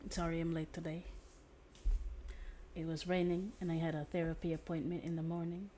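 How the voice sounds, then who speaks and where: Low-pitched, mellow woman streamer. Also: little pitch movement, brisk, a little hiss or hum. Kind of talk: casual livestream chat while gaming.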